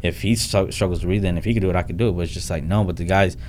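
A man's voice: low voice, steady tone, rapid-fire, darkish tone, slight background noise. A young man talking as a real estate investor guest in a casual interview, blunt and plain-spoken, often restarting his sentences and dropping in "like" and "man.". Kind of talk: casual podcast interview talk.

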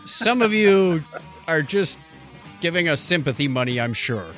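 An American man co-hosting a podcast in casual, jokey chat with an animated delivery. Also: a little high, a little slow, soft background music. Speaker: podcast co-host.

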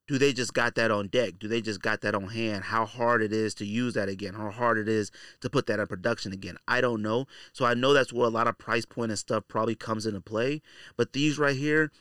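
A man's voice: lowish voice, fairly even intonation, brisk, fairly bright tone, clear, resonant tone, no room sound, studio quality. A man hosting a podcast with casual, opinionated commentary in slangy, colloquial speech, repeating phrases for emphasis. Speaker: podcast host.

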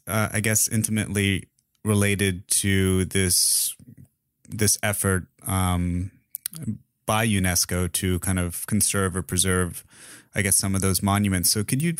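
A man's voice: lowish voice, no room sound, studio quality. A man hosting an interview podcast, asking questions in a casual, tentative and informal way.

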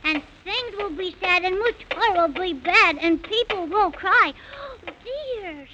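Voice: extremely high pitch, a little slow. An actor playing a polite, wide-eyed six-year-old boy in a 1930s children's radio serial, speaking earnestly and breathlessly. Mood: worried. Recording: scratchy, muffled 1938 radio recording.

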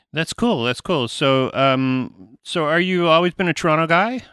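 A man's voice: on the high side, slow, fairly bright tone, smooth, no echo, studio quality. Chatty man hosting a podcast. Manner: loose, chatty, rambling. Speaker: podcast host.

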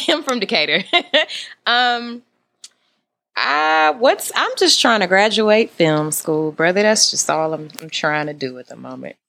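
A woman's voice: medium pitch, animated, a little slow, gravelly, pushed and bright, dry recording. A young woman from Barbados, a film student, chatting easily as a podcast guest. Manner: laughing, easygoing. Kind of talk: casual chat.

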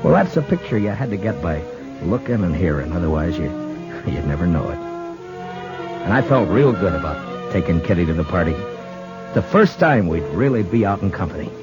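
An actor playing a plainspoken, earnest frontier marshal with an American accent and a halting manner in a 1950s radio western. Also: male voice, sing-song. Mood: reflective narration. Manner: halting, low-key, awkwardly sincere. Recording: dull 1950s radio recording, music.

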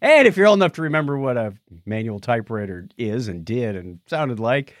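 American man, podcast host, lively swooping intonation, jokey casual banter, close dry sound. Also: medium pitch, fairly quick, no echo. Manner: jokey, playful. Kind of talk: casual comedic chat.

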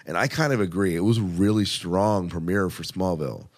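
A man's voice: low voice, a little fast, a little mellow. A man co-hosting a podcast, casually reviewing TV in an enthusiastic, conversational way and repeating phrases for emphasis. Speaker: podcast co-host.

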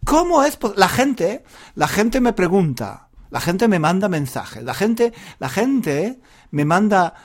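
A man speaks as a teacher to language learners in an informal monologue. His voice sits slightly high and moves up and down with great animation. He keeps the pace slow, repeating phrases and throwing out rhetorical questions theatrically. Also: very animated. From Granada, Andalusia, Spain. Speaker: Spanish teacher, podcast host.

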